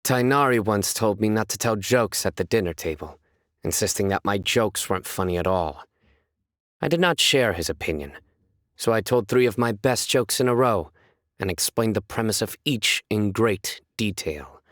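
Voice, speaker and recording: A stern young desert judge from a video game, lowish voice, super serious and deadpan, dry close sound. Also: male voice, normal speed, no room sound. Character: stern, composed young male desert judge, earnest and solemn. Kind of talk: scripted game character voice lines.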